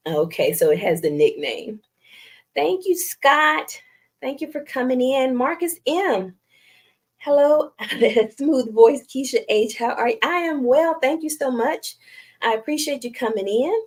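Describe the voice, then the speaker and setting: A woman's voice: high-pitched, animated, unhurried, slightly rough. A middle-aged woman with a Southern US accent and a light, youthful voice, chatting casually with viewers on a live stream and laughing often. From United States. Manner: chatty, giggly, self-deprecating, reads viewer comments aloud.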